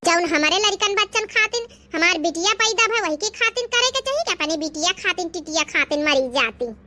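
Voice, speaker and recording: A woman's voice: extremely high pitch, animated, a little fast, bright, forceful tone, exaggerated voice. A woman voicing a bossy, scheming young village sister-in-law in a cartoon comedy, chatty and pushy, with an Awadhi accent. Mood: defiant.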